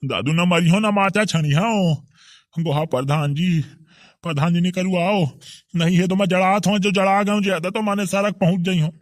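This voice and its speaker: A man's voice: sits high, moderate pace, no echo, studio quality, close to an everyday voice. A man voicing a timid, fretful village man in a comedy cartoon, with a Bundeli accent.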